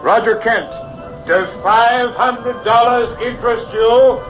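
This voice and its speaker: High-voiced carnival hypnotist, theatrical barker patter, insistent, vintage radio drama, dull old recording with music behind. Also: male voice, takes it slowly. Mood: pressing. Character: showman hypnotist professor, pushy, smooth-talking carnival barker. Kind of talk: mid-century radio drama acting.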